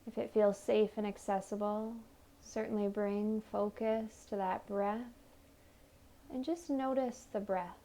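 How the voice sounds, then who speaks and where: A woman leading a guided meditation in a soothing, gentle manner, offering unhurried invitations. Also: mid-range voice, fairly even intonation. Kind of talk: guided meditation, body scan narration.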